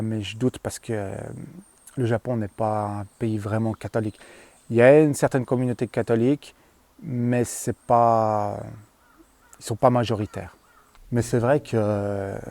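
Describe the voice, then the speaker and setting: A man's voice: on the low side, warm tone. A man chatting casually and hesitantly as he tells stories for a vlog, in colloquial speech with trailing tags. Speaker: vlogger, former tunnel worker. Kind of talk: casual rambling storytelling to camera. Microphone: handheld at arm's length.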